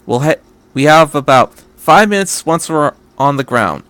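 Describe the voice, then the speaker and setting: A man voicing a brisk, resolute female military officer in a story, commanding and matter-of-fact. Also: fairly high pitch, animated, a bit bright, clean tone, no room sound.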